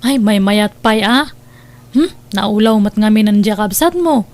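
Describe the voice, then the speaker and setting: A woman's voice: medium pitch, lively intonation, a little slow, a little mellow, close to an everyday voice. A woman with an Ilocano Filipino accent playing a friendly, supportive cousin in an audio drama, chatty and sympathetic.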